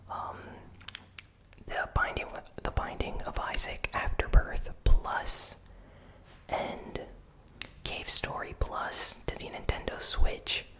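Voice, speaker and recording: A host giving a whispered ASMR recap of gaming news, in a soft unvoiced whisper with relaxed, casual asides. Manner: unhurried, with frequent "you know" and "uh" fillers.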